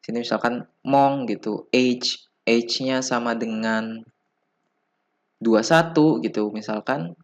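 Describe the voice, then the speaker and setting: Man, Indonesian accent, medium pitch, informal tutorial instructor, hesitant step-by-step explanations. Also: takes it slowly, long pauses. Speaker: programming instructor. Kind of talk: informal screen-recorded coding tutorial.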